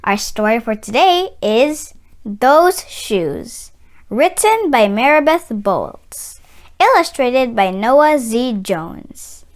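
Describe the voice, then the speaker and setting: A woman reading a picture book aloud to children, with slow, expressive, deliberate phrasing. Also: a little high. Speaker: children's read-aloud channel host.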